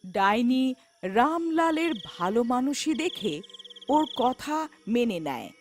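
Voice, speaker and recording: A woman's voice: high-pitched, very animated, takes it slowly, a little hiss or hum. A woman narrating a scripted children's horror folktale as the storyteller.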